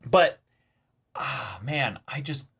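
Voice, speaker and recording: Casual male co-host for fan discussion, forceful bright tone, emphatic and enthusiastic delivery. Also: mid-range voice, lively intonation, slow, gravelly, breathy edge. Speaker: co-host of an anime reaction channel. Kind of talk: casual chat, reaction discussion.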